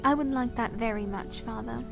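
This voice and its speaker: Woman playing a high-voiced, proud fantasy princess. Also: moderate pace, creaky, music in the background. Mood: tender, grateful. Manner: formal, archaic, precise diction. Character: proud young princess, soft-spoken yet defiant, sharp-tongued. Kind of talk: fantasy audio drama acting.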